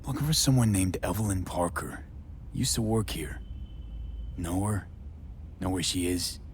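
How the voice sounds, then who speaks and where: A man's voice: low-pitched, animated, a little slow, slight background noise. An actor playing a hardened, weary male mercenary in a game cutscene, speaking in terse, sardonic, clipped lines. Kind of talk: video game cutscene acting.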